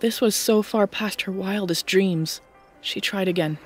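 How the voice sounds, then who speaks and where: A woman narrates an audiobook story from a script. Her voice sits at an ordinary middle pitch as she reads the prose. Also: unhurried, soft background music.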